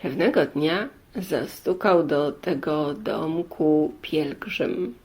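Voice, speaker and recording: A woman storyteller telling a fairy tale in deliberate short phrases, with many pauses and repeats. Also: sits low, a little mellow, breathy edge.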